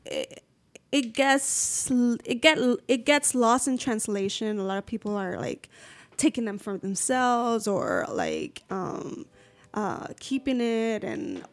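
A woman's voice: a little high, big pitch swings, takes it slowly. A woman from Puerto Rico, a radio interview guest, speaking hesitantly with many fillers.

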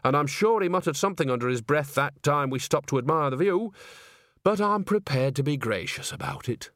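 A man's voice: fairly high pitch, animated, fairly bright tone, no room sound, clean studio sound, voice pushed up. A man voicing a chattering old witch. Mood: breezy. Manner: rapid gossipy chatter. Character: old chatty witch woman, cheerfully brazen.

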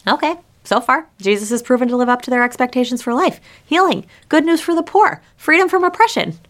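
A woman's voice: sits high, expressive, brisk. A woman pastor giving a prepared but conversational sermon, speaking directly to her listeners and posing rhetorical questions.

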